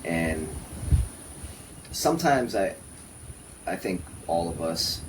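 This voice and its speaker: A man speaking as a podcast guest in a casual, reflective way, thoughtful and measured in short phrases. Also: low-pitched, big pitch swings. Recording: noisy room ambience.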